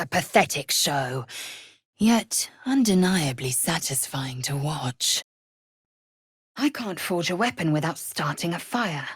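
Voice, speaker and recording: Video game role: proud, scornful female alien warrior. Low, raspy voice, curt commands, measured delivery. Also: a bit bright, no room sound, studio quality, full character voice. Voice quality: husky, raspy. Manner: imperious, clipped, deliberate. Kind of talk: video game character voice lines.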